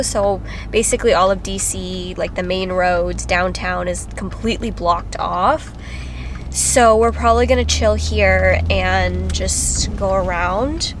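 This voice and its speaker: A woman's voice: medium pitch, a little hiss or hum. Congested woman vlogging casually. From Philippines. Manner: chatty, lots of "like" and "um". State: congested from a cold. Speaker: travel vlogger. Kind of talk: casual vlog talk to camera. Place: driving around and outdoors in summer.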